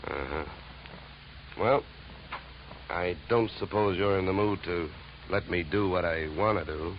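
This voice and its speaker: An actor playing a cool, brisk, sardonic insurance investigator in a vintage radio detective drama. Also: male voice, deep voice. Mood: probing. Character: cool, wisecracking freelance insurance investigator, male. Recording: dull, hissy vintage radio recording.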